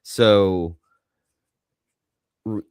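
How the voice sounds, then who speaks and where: A man with a low-pitched voice talks as a podcast co-host. He thinks out loud in an informal, sweary way, and the sound is dry with no room to it. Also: fairly even intonation, very slow, clear, resonant tone, no echo. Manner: informal, swearing, musing aloud. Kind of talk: casual pop culture podcast banter.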